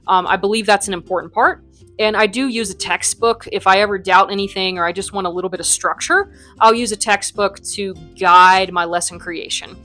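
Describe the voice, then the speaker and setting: A woman's voice: medium pitch, fairly even intonation, brisk, sharp tone, faint music behind. Chatty young woman teacher. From United States. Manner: chatty, with frequent ums and likes. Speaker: Spanish teacher and academy founder. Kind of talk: casual interview answers.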